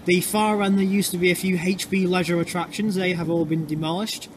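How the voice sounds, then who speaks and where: A man talks his way through a walking tour, chatty and a little halting as he explains things. His voice sits a touch high, and the camera mic picks up plenty of street and traffic noise around him. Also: fairly even intonation. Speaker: vlogger. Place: outdoors in urban streets and underpass. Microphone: handheld camera mic. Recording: noisy outdoor street sound. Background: traffic and street ambience.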